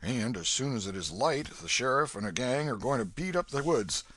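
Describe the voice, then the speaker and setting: A man voicing a kindly, gruff old Welshman with a booming, fatherly manner. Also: expressive, normal speed, fairly bright tone, no room sound. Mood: storytelling.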